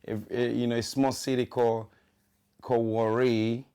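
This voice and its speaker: A man's voice: mid-range voice, little pitch movement, normal speed, warm tone, clear, resonant tone. Nigerian man chatting loosely. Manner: loose, repeats "you know what I mean". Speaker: musician guest. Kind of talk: casual interview chat.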